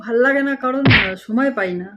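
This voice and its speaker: A man's voice: very high voice, brisk. A man with an Indian Bengali accent chatting casually on a prank call, teasing and often repeating himself. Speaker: prank caller, video host. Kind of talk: casual phone chat. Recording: muffled, faintly noisy call recording.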